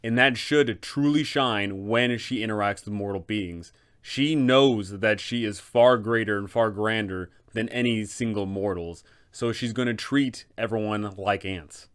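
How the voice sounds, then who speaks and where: A man hosting a scripted explainer for game masters, speaking emphatically in deliberate phrases with rhetorical questions. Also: on the low side, clear, resonant tone. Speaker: host, game master advisor. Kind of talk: scripted explainer on running a tabletop campaign.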